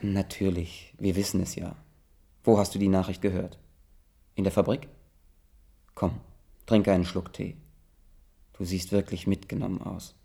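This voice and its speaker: An actor in a radio drama playing an earnest, rationalizing friend, a husky-voiced man who soothes yet insists. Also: low-pitched, level tone, unhurried, long pauses, dark tone, exaggerated voice. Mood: concerned, gentle. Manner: insistent, persuading.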